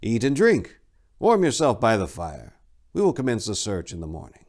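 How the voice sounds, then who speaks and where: A man voicing an ancient, wizened male abbot who speaks in a measured, solemn, questioning way for a fantasy story. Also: lowish voice, wildly swooping pitch, darkish tone, pitched above the performer's own voice. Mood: calm, welcoming. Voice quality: wizened, aged voice.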